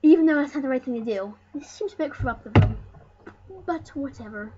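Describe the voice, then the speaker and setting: A woman's voice: sits high, a little fast. A woman hosting a let's play, rambling and joking with casual swearing as she comments and reads game dialogue aloud. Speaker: let's play host and player. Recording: muffled lo-fi mic with background noise.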